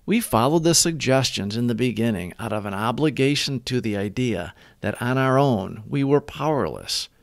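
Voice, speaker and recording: A man reads a scripted reflection in a measured, deliberate way. His mid-pitched voice rises and falls with a lot of expression. The sound is dry, with no room echo at all. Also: creaky. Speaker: host of recovery readings. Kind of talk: scripted devotional reading.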